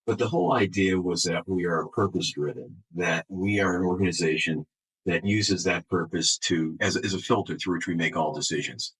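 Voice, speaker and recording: A man speaking as an executive guest in an interview, answering off the cuff in a halting way, repeating words and restarting phrases. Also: deep voice, fairly bright tone, slightly rough, low-quality recording. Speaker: health system chief executive, interview guest.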